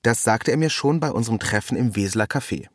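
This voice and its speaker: A man narrating a scripted first-person literary text in a measured, ironic manner. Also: medium pitch, no room sound, clean studio sound.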